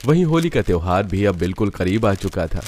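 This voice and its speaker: A man's voice: low-pitched, sing-song, fairly quick, darkish tone. A man narrating a scripted cartoon story like a dramatic storyteller.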